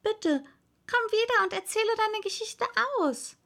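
A polite, curious young girl from a storybook, played by a woman. Her voice is high and lively in pitch, earnest and naive, and she speaks eagerly without hurrying. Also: slow, full character voice. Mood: pleading. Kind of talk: storybook character acting.

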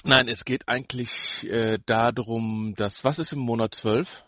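A man's voice: medium pitch, steady tone, unhurried, bright, forceful tone, no echo, clean studio sound. A man co-hosting a podcast in casual banter, with a teasing, jokey manner. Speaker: podcast co-host.

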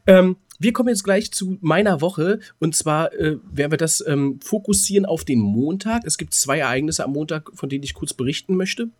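A man's voice: on the high side, lively intonation, moderate pace, few pauses, darkish tone. A man co-hosting a podcast in casual, joking chat. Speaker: podcast co-host.

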